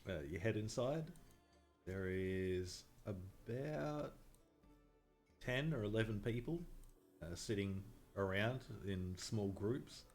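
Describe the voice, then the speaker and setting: A man's voice: fairly low pitch, expressive, takes it slowly, faint music behind. A man narrating a tabletop game as game master, describing scenes with frequent "uh" fillers on a desk mic. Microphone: desk mic on a voice call.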